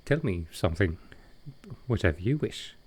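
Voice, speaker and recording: A man playing a gentle, wistful ancient vampire in an intimate audio roleplay, soft-spoken and hesitant with halting pauses. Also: low-pitched, animated, breathy edge. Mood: gently teasing.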